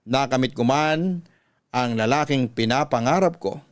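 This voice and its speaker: A man narrating a sorrowful first-person letter as a radio-style storytelling host, solemn and measured. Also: medium pitch, no room sound, clean studio sound.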